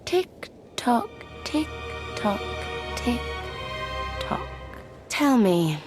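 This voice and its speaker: A woman's voice: a little high, very animated, drawn-out words, music in the background, full character voice. An actress playing an eerie, menacingly playful female apparition in a video game, teasing in a singsong way. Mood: mocking, menacing.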